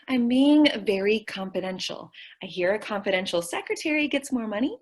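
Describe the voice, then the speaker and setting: A woman's voice: mid-range voice, big pitch swings, normal speed, natural voice. A woman acting a sweet, dim-witted, earnest young secretary in an old-time radio comedy. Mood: earnest, naive. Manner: naive, literal-minded, earnest.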